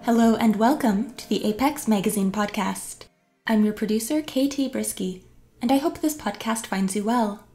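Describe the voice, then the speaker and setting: Female podcast presenter, slightly high voice, scripted introduction read. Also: moderate pace, a little mellow, soft background music. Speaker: podcast producer and host.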